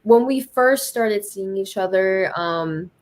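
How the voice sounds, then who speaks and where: A woman's voice: mid-range voice, slow pace. A woman with an American accent talking informally and reflectively as a guest in a casual interview, explaining things. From United States.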